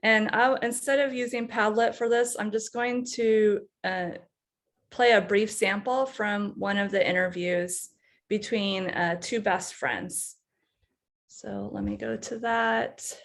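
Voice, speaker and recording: A woman's voice: mid-range voice, slow. A woman college instructor giving a conference presentation. She explains a class assignment step by step. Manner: explanatory, walks through class materials step by step.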